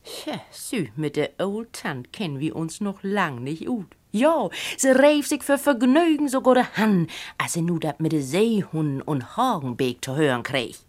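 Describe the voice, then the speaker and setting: Animated low-voiced woman storyteller, northern German. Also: measured pace, few pauses. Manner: animated, acts out characters' speech. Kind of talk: expressive literary reading of a humorous story.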